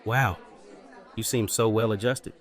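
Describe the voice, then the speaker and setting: A man's voice: on the low side, fairly even intonation, measured pace, a faint crowd, lightly acted. A man playing a shy, politely curious newcomer in an audio drama. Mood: curious, puzzled. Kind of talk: fan audio drama acting.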